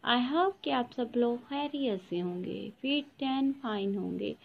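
A woman with a high voice hosts her home decor channel, narrating over pictures. She talks warmly to her viewers and sends them friendly blessings. The voice-over is dull and lo-fi, with a faint hiss behind it. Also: animated, slow pace, gravelly. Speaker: YouTube channel host. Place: voice-over, not in the pictured rooms.

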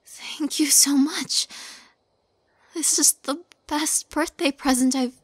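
A woman playing a shy, tender young witch girlfriend in an intimate roleplay, speaking softly and haltingly in a breathy voice that often drops to whispers. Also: sits high, fairly even intonation, no room sound. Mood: awed, grateful. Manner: hesitant, halting, soft-spoken, often dropping to whispers.

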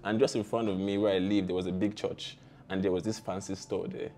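A man's voice: deep voice, flat delivery, fairly quick, a little mellow, slight background noise. A young man with a Nigerian accent, telling stories casually and with informal slang as an interview guest. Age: young adult. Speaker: guest, tech founder. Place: small curtained indoor studio, seated.

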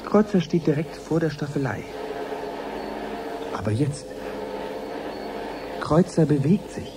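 An actor playing an eager male science reporter in a radio drama, commenting live with measured wonder. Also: fairly high pitch, noisy background. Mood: awed, searching.

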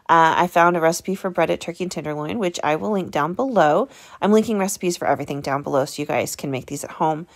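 Low-pitched American woman, chatty and enthusiastic. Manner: enthusiastic, folksy. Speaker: home cooking vlogger. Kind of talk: casual chatty recipe voice-over.

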